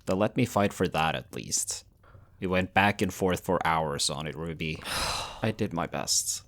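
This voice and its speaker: A man performs a weary young shifter leader in an audio drama. His voice is low, and he speaks with measured, earnest care, like a protective brother reassuring his own. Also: fairly bright tone. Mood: grave, tired.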